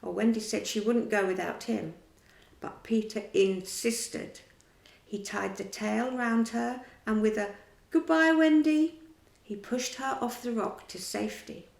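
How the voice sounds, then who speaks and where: A woman reading a children's storybook aloud in a slow, expressive way. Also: mid-range voice, gravelly, reverberant, rough recording.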